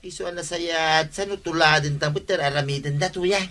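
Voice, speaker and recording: A man's voice: fairly high pitch, normal speed, bright, forceful tone. A man acting a nosy, eager village husband in a comedic radio drama, with an Ilocano accent. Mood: urging.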